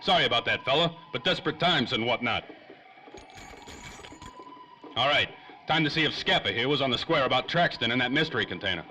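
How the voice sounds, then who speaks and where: A man playing a bright-voiced hard-boiled private eye. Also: medium pitch, animated, fast. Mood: wry, tense. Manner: slangy, wry tough-guy talk. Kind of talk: old-time radio drama acting. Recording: faintly noisy old-time radio recording.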